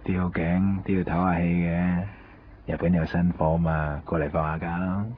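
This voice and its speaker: An actor with a Hong Kong accent playing a cocky, streetwise hustler and loyal friend in a radio drama. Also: male voice, deep voice, little pitch movement, normal speed, slight background noise, lightly acted. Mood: breezy. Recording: dull 1990s radio recording, faint music.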